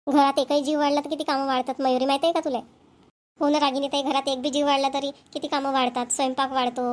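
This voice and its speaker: A woman's voice: sits high, natural voice. A woman voicing a selfish, work-shy young daughter-in-law in a family cartoon, whiny and gossipy with a Vidarbha Marathi accent. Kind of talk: family comedy cartoon dialogue.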